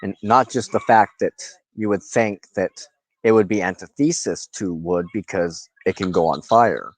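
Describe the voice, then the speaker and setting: A man giving an informal spiritual talk as a reverend and teacher, speaking in a halting, deliberate way with drawn-out pauses. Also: deep voice, no echo, low-quality recording. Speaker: host, pagan reverend and teacher.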